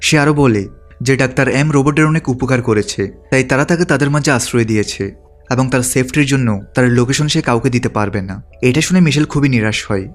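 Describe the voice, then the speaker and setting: A man's voice: mid-range voice, brisk. A man reading scripted narration that retells a film's story as a recap narrator. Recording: background music under the voice. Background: music.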